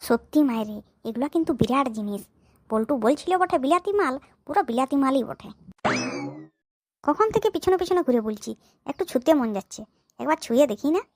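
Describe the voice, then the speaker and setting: Sly girl-chasing village lad for cartoon comedy, played by an actor, high-pitched, quick, self-justifying. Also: expressive, no echo, studio quality. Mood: sly, hesitant. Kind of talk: comic cartoon dialogue in village dialect.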